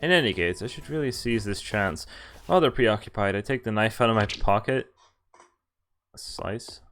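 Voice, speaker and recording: A man's voice: on the low side, expressive, moderate pace, a bit bright. A man streaming a game, reading visual novel text aloud and adding casual, joking commentary. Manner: amused, joking asides. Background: game music.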